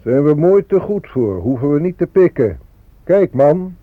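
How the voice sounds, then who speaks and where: A homesick, proud man in a radio drama. His voice is husky, and the sound is narrow and echoing. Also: on the high side, very animated, a little slow. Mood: restless, defiant. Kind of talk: radio play dialogue and poetic monologue. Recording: muffled, roomy radio play recording.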